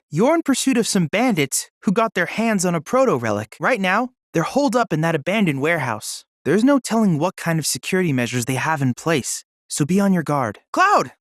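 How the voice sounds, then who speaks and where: A woman's voice: medium pitch, no room sound, clean studio sound, lightly acted. A woman playing a polite, eager boyish android research assistant in a video game, speaking in a formal, precise, bookish way. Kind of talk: scripted video game voice lines.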